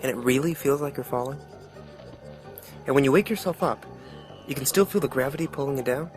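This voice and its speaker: Music plays under a man acting a wry male storyteller with a mid-pitched voice. He narrates a comic tale in a folksy, streetwise manner, reflecting as he goes. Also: brisk, lightly acted. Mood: wry, musing. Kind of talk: colloquial scripted narration of motion comic.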